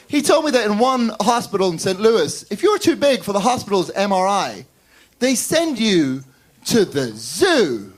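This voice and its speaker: A man from Northern Ireland with a Northern Irish accent, doing a wry, deadpan stand-up set on a club stage. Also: high-pitched. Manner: wry, deadpan storytelling with quick character voices. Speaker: stand-up comedian.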